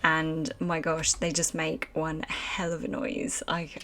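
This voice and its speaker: A woman's voice: low-pitched, flat delivery, slow pace, a bit bright, slight background noise. A woman streaming a game live, chatting casually and rambling with lots of fillers at a desk microphone. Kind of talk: casual let's-play commentary chatting with chat.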